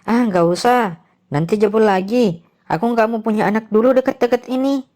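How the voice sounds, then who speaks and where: Coquettish young wife voiced by a woman, higher-pitched. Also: normal speed, warm tone, close to an everyday voice. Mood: annoyed refusal. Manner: casual, coquettish. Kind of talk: animated drama dialogue, colloquial Indonesian slang.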